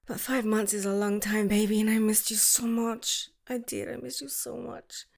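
Gushing woman with a gravelly edge. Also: mid-range voice, flat delivery, moderate pace. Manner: gushing and affectionate, shifting to soft whispers. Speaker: ASMR roleplay creator. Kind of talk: ASMR girlfriend roleplay.

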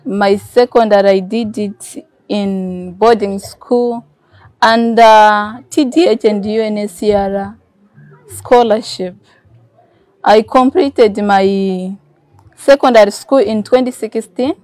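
A woman's voice: medium pitch, fairly even intonation, slow pace, darkish tone, no room sound. A woman, giving personal testimony in an interview. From Burundi. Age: 20s. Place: outdoors, outside a house in a camp.

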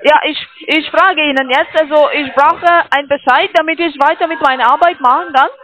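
High, bright foreign-accented woman on the phone. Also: fairly even intonation, brisk. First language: not German. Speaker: call centre trading scam caller. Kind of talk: phone sales call in broken German. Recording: phone-like telephone line.

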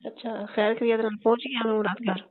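A woman's voice: a little high, little pitch movement, fairly quick, a crowd in the background. A woman with a Saraiki accent chatting casually and intimately as a caller on a recorded phone call. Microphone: telephone line.

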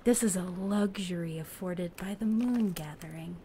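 An actress playing a reflective, anguished raft dweller from an ocean world in an audio drama, halting and often hushed. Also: female voice, on the low side, slight background noise, natural voice. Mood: tender, hushed.

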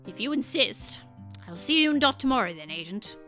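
Woman as a flustered, kindly scientist penguin, high-voiced. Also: big pitch swings, brisk, sharp tone, faint music behind. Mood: gentle. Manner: polite, old-fashioned, flustered. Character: kindly, fretful male scientist penguin, fatherly gadget inventor.

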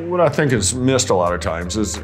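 A man's voice: on the low side, very animated, brisk, soft background music. A man hosting a casual interview, thinking aloud and speaking with enthusiasm as he asks questions and comments. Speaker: interviewer, host.

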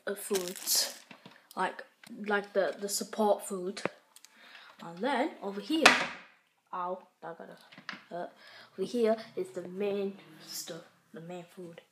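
Woman, English accent, casual house tour, chatty and unsure, handheld phone, noisy with handling clicks. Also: medium pitch. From England. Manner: chatty, unsure, lots of "like" fillers. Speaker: vlogger giving a house tour. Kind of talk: casual home tour narration. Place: home kitchen and living room, indoors. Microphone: handheld phone camera while walking.

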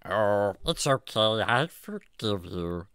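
A woman's voice: very deep voice, sing-song, slow, a bit bright, smooth, dry recording, clean studio sound, heavily acted. A woman voicing a naive, curious, childlike talking animal in a children's radio drama, with an innocent, earnest manner. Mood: sweet, eager. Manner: innocent, earnest, baby-talk phrasing.

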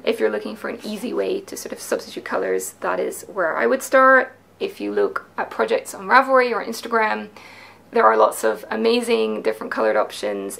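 A woman's voice: medium pitch, vocal fry, fairly bright tone. A woman in her thirties presenting her knitting patterns in a chatty, enthusiastic way, explaining techniques step by step, seated at a craft table in a small home studio. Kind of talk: casual explanatory video talk.

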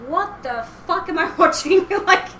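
A woman's voice: extremely high pitch, expressive, a little slow, fairly bright tone. A young woman hosting a podcast as a casual group chat, with a giggly manner. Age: young adult. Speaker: podcast host. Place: home room with shelves and posters, indoors. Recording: roomy, noisy home recording.

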